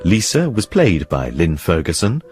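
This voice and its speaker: A male radio announcer reads scripted credits over music. His voice is deep and varied in intonation, and he takes his time. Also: wildly swooping pitch, unhurried, a little mellow. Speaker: continuity announcer.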